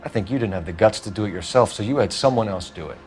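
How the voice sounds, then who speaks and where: An actor playing a stern homicide detective who questions a suspect in an accusing way. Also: male voice, on the low side, fast talker, faint music behind, lightly acted. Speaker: lead detective.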